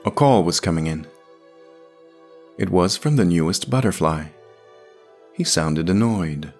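A man's voice: low-pitched, lively intonation, measured pace, darkish tone, faint music behind. A man reading scripted narration and announcements as the writer and narrator of a podcast.

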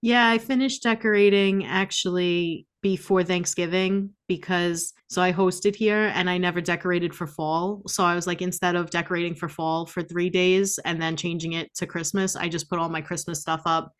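Lower-voiced woman in casual chat. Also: fairly even intonation, moderate pace, hardly any pauses, smooth. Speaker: podcast co-host.

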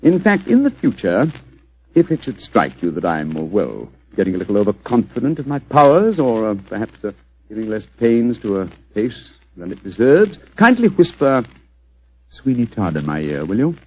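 An actor playing a shrewd, urbane British gentleman detective in 1940s radio drama style, with precise theatrical phrasing. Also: on the low side, sing-song, normal speed, husky. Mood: wry, confiding. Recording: dull lo-fi vintage radio recording.

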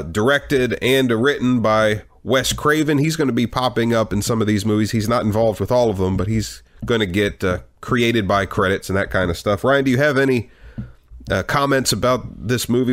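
A man's voice: on the low side, moderate pace. A man hosting a movie marathon podcast, a little congested from a cold, with wry joking remarks. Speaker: podcast host. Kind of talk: casual chat.

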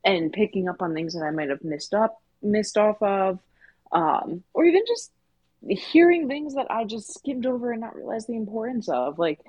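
A woman's voice: fairly low pitch, darkish tone, husky, dry recording, poor audio. A woman co-hosting a podcast, talking earnestly and conversationally in a casual review discussion. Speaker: podcast co-host.

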